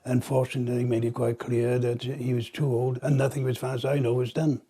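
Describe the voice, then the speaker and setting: An older man, around eighty, recalls things haltingly. His voice is husky and mellow, at an average pitch. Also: flat delivery. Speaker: documentary interviewee. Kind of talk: halting personal recollection.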